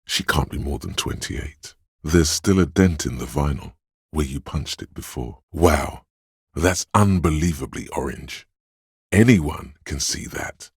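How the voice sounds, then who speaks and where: Calm, wry inner sense of perception in a game: very husky, measured, points out details in second person. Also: male voice, a bass voice, no echo, studio quality, heavily acted. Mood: observant. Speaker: voice actor for a game's inner skill voice. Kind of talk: scripted game voice lines.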